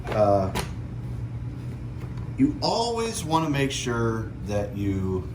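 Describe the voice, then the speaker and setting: A man hosting a casual hands-on DIY vlog, thinking aloud in a halting, self-deprecating, crude way. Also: lowish voice. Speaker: host of a DIY truck modification vlog. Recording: clear background noise and ambience.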